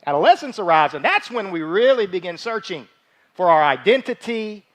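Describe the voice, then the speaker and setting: A man's voice: high-pitched, sing-song, normal speed, a bit bright. A man in his forties giving an informal, conversational sermon as a church pastor, folksy, repeating phrases for emphasis. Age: 40s. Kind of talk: conversational sermon with joking asides. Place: church service, speaking live from a stage.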